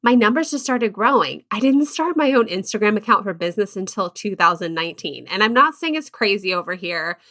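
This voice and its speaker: A woman's voice: mid-range voice, lively intonation, fairly quick, hardly any pauses, fairly bright tone. A woman hosting a podcast, talking candidly and upbeat in a conversational way. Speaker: podcast host. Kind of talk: podcast intro and interview.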